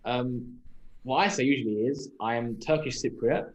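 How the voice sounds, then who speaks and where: A man's voice: mid-range voice, normal speed. A young man from London with a London accent, chatting casually and reflectively on a podcast, thinking aloud and posing questions to himself. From London, England. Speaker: podcast speaker. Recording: roomy echo on the voice.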